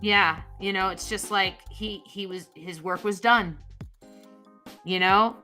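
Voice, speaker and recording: Bright-voiced woman chatting while drawing. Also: mid-range voice, steady tone, slow, faint music behind. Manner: rambling, thinks aloud with fillers. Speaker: co-host drawing a comic. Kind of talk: casual chat while drawing.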